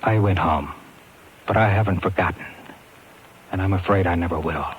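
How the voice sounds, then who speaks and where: An actor playing a shaken talent agent who narrates a 1950s radio thriller in a grave, dramatic way. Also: male voice, sits low, moderate pace, narrow, tinny audio, loud music behind the voice, close to an everyday voice. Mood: grave, haunted. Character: shaken male talent agent recounting a horror. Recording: vintage 1950s radio recording.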